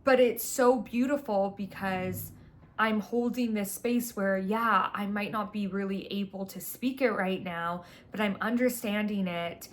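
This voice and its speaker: A woman's voice: mid-range voice, unhurried, a little hiss or hum. A woman with a mid-range voice talks freely as a spiritual content creator. Her delivery is earnest and unscripted. She stresses her points emphatically, often circling back to repeat a phrase.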